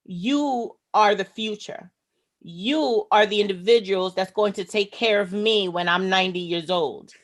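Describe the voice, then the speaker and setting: Earnest woman giving a motivational talk. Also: medium pitch. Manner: slow, emphatic, earnest. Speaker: guest speaker. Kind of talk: motivational speech. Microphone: video call.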